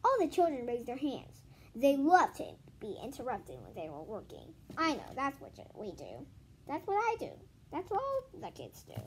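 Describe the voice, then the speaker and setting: A female host reading a children's book aloud in an expressive, informal way, correcting herself and adding casual asides. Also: sits high, normal speed, slight background noise, poor audio. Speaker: video host.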